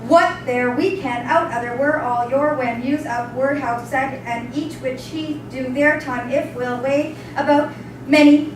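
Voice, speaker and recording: An obedient, puzzled woman pupil with a high voice, reciting by rote. The theatrical reading is heard from a distance in a heavily reverberant room. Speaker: stage actor in a dramatic reading. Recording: echoey hall from far off, noisy.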